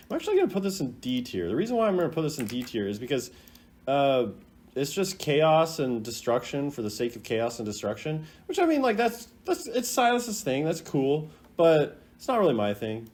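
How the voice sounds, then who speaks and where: Casting: man as a laid-back commentary host, off the cuff and rambling, with very varied pitch. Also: huge pitch swings, moderate pace, darkish tone. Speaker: host ranking game voice lines. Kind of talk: casual unscripted commentary.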